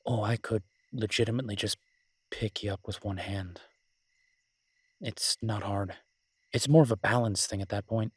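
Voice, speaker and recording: Male actor as devoted alpha werewolf lover for romantic audio drama: low, even, intimate, teasing and protective. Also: breathy edge, no echo. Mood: playful, teasing. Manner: tender, teasing, unhurried pauses.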